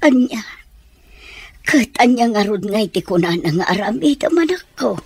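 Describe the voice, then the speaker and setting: A woman with an Ilocano accent playing a concerned older mother who gives gentle counsel in a radio drama. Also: fairly high pitch, expressive, normal speed, husky.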